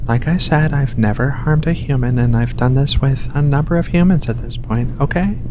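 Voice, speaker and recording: A performer voicing a gentle giant furry alien researcher in a soft, hushed, soothing ASMR roleplay. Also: lightly acted. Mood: gentle, reassuring. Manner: soothing, unhurried, reassuring, coaxing. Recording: steady rumbling ship ambience behind voice. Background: low engine rumble of a spaceship.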